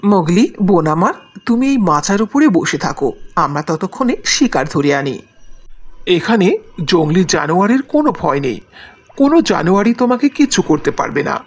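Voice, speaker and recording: A woman's voice: on the low side, very animated, a little fast, husky. A woman voicing a band of village brothers in a cartoon, sounding eager and scheming. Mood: deceptive. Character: greedy elder brothers and pleading little brother. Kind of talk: Bengali cartoon dubbing.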